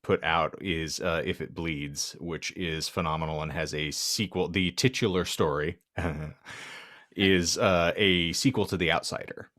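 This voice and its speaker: A man's voice: deep voice, steady tone, measured pace, fairly bright tone, dry recording, clean studio sound. A man co-hosting a podcast, talking casually about books in wordy, articulate phrasing. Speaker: podcast co-host. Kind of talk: casual book chat.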